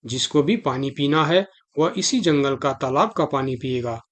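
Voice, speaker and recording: A man's voice: medium pitch, lively intonation, moderate pace, close to an everyday voice. A man voicing an authoritative, reassuring lion king in a Hindi children's cartoon, formal and commanding.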